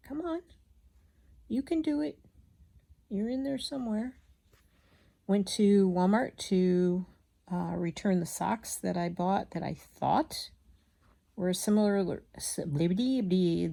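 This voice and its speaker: A woman chatting casually as she hand stitches, rambling and absent-minded, muttering to herself, with a slightly gravelly voice. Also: mid-range voice, dark tone. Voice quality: slightly gravelly from a frog in the throat. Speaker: craft vlogger.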